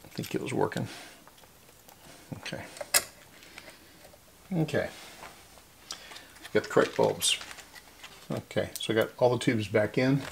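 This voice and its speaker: A man's voice: mid-range voice, a little slow, gravelly, pauses often. An older man giving casual repair commentary at a workbench, folksy and muttering to himself as he works, with faint noise and clicks in the recording. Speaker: hobbyist repair vlogger. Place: cluttered indoor workbench.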